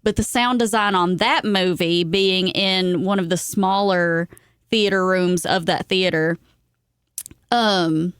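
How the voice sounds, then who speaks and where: A woman co-hosting a casual podcast chat about films, talking in a candid, expressive way. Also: lowish voice, takes it slowly, clean tone, no room sound, clean studio sound. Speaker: podcast co-host.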